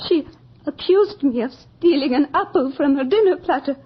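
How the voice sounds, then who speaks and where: An actress playing a frightened young peasant seamstress in a radio horror drama, halting, tearful and pleading. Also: female voice, sits high, lively intonation. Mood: fearful, halting. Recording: dull lo-fi old radio recording.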